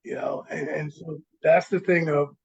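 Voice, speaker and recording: A man, a guest on a radio show, speaking thoughtfully and haltingly with many restarts. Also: fairly high pitch, wildly swooping pitch, gravelly, a little mellow, slightly rough. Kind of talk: reflective conversation. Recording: dull lo-fi line.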